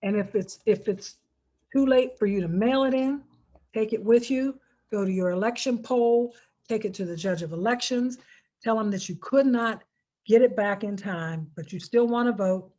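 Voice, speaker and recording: An American woman speaks in a medium-pitched voice, walking listeners through a procedure step by step. She is informal but emphatic, leaning on key words and repeating the important points so they are understood. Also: normal speed, dark tone. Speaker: presenter of a voter instruction video. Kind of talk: informal step-by-step public instruction.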